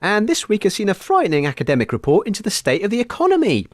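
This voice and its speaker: A man's voice: high voice, wildly swooping pitch, fast talker, dry recording, studio quality. A man co-hosting a comedy radio show in a mock-formal, bemused presenter manner.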